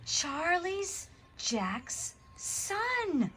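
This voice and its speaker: A woman voicing an excitable, big-dreaming young boy in a storybook, eager and emphatic. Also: very high voice, extremely slow, a little hiss or hum, pitched above the performer's own voice, exaggerated voice. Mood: shocked, urgent.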